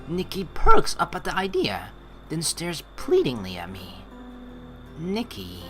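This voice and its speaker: Lively, bright-voiced male let's player. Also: on the high side, slow, faint music behind. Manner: animated, rambling, informal. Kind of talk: game commentary, reading narration and lines aloud.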